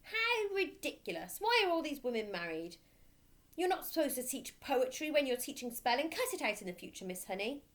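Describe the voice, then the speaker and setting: A woman's voice: sits high, big pitch swings, brisk, fairly bright tone, pitched above the performer's own voice. A woman voicing a giant, bullying headmistress who barks and bellows contemptuous commands in a storybook reading. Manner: barking, bellowing, commanding, insulting.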